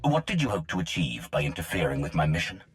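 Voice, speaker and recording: A haughty galactic dark lord for a video game. The voice is extremely deep yet swings expressively, curt and threatening, over faint music. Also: male voice, sing-song, fast. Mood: demanding.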